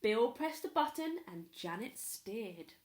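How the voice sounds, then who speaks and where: Female storyteller, primary-school storytime: expressive, unhurried delivery, slightly high pitch. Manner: pauses to ask young listeners questions. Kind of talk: expressive children's story reading.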